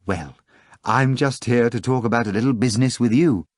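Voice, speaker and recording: A man's voice: medium pitch, normal speed, no room sound, studio quality, close to an everyday voice. A man voicing a smug, insolent fat man with a cat-like face who speaks with a lazy smile.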